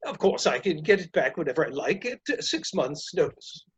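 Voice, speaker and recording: A man playing a polite, flustered gentleman suitor in a comic play reading, hesitant, formal and apologetic. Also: fairly high pitch, sing-song, normal speed, slightly rough, heavily acted. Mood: polite, uneasy.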